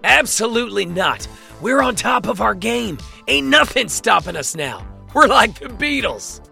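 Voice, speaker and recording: Gravelly, cocky crime boss played by a man. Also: high-pitched, very animated, measured pace, bright, forceful tone, soft background music, exaggerated voice. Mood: cocky, determined. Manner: bossy, self-assured. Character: cocky, ambitious male crime boss, loyal to his partner. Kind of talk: crime thriller audio drama dialogue.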